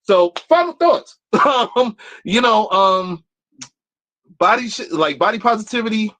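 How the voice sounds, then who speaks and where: A man with a New York accent hosts a casual podcast. His speech is slangy and laid-back, and he drops laughs between phrases. His voice sits a bit higher than most men's. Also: big pitch swings, measured pace, sharp tone. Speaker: podcast host.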